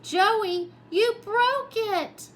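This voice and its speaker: A woman's voice: extremely high pitch, drawn-out words, fairly bright tone, slight background noise, pitched above the performer's own voice, exaggerated voice. A woman voicing an eager, impulsive young boy shark in a storybook, exclaiming and whiny when upset. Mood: upset, whining.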